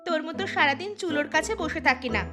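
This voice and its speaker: A woman's voice: sits high, fast talker, music in the background, natural voice. A woman playing a proud, quarrelsome young village housewife in a cartoon story, taunting and emphatic. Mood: haughty, quarrelsome. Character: sharp-tongued, proud young village housewife, a boastful cook. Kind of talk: cartoon moral-story voice acting.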